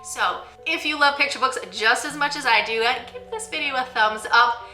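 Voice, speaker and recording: Female book-channel host, enthusiastic and expressive, fairly high voice, faint music behind. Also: normal speed, echoey room. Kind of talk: scripted-from-notes book review vlog addressed to viewers.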